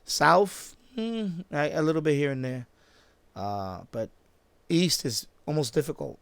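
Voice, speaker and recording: A man chatting off the cuff on a live stream, halting and repeating himself as he circles back to his points. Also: on the high side, lively intonation, vocal fry, a bit bright, smooth, no echo. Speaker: live-stream host, radio hobbyist. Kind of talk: casual unscripted live-stream chat.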